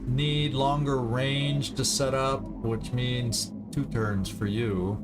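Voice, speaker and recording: Slow-talking man commentating over game music. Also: mid-range voice, steady tone. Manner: thinking aloud, deliberate. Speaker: gaming video host. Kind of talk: casual strategy game commentary. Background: video game music.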